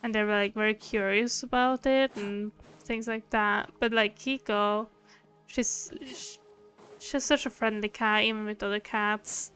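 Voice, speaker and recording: A woman's voice: mid-range voice, fairly even intonation, unhurried, a bit bright. A woman streamer chatting casually and affectionately while she plays a game. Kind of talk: casual livestream chat. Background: game music.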